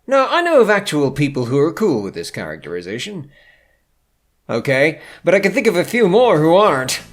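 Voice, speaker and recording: A man's voice: a little high, huge pitch swings, a little fast. A man delivering scripted, humorous video game commentary in a theatrical, sardonic way, full of flowery rhetorical questions. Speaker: video essayist, narrator. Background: background music.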